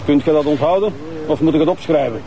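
Actor as a high-pitched snide Flemish forensic investigator. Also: male voice, fast talker, warm tone, slight background noise, lightly acted. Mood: mocking. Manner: sarcastic, needling. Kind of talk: naturalistic TV crime drama acting.

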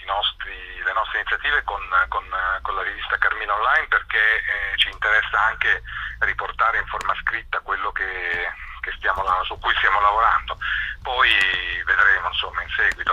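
A man's voice: deep voice, sharp tone, husky. A man organizing cultural events, explaining his project by phone and stumbling over repeated words. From Italy. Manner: hesitant, many repeated words and fillers. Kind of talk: phone interview. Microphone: telephone. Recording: lo-fi phone line.

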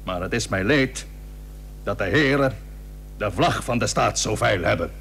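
A man playing an old, proud and plain-spoken Dutch admiral in a historical radio drama, formal, measured and firm. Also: on the low side, animated, fairly bright tone. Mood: proud, grave. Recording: noisy old radio recording with hum.

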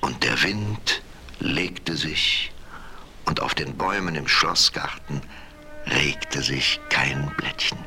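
A man's voice: a bass voice, slow, pushed and bright, soft background music. A man narrating a scripted fairy tale in a theatrical, dramatic storytelling manner.

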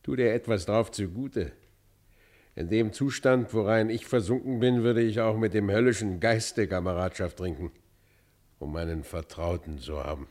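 A man's voice: on the low side, moderate pace, darkish tone, natural voice. A man playing a tormented, guilt-ridden fugitive outlaw in a German radio play, speaking in an anguished, declamatory literary manner. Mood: confiding, bitter.

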